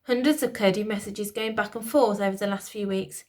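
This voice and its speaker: A woman's voice: mid-range voice, fairly even intonation, moderate pace, a little mellow, natural voice. Woman playing an oily scheming courtier. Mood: accusing. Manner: oily, falsely soothing, pompous. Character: smooth scheming male Lord Protector, older courtier.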